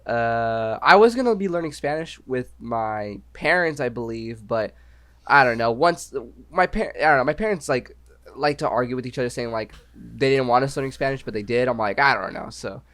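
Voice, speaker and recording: A man's voice: mid-range voice, lively intonation, moderate pace, fairly bright tone. A young man co-hosting a podcast, talking casually and rambling, often asking "you know what I mean". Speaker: podcast co-host. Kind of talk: casual chat.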